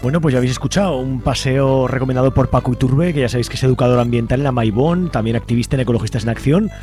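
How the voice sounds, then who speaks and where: A man's voice: medium pitch, very fast, mellow, soft background music. A man with a Spain Spanish accent hosting a casual radio show in an informal, wry way, often checking in with tag questions. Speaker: radio host.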